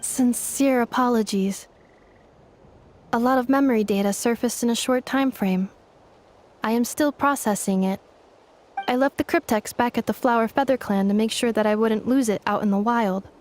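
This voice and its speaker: A woman voicing a calm, polite robot girl in a video game, speaking in formal, precise, computer-like phrases. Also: fairly high pitch, normal speed, slight background noise, natural voice. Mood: apologetic.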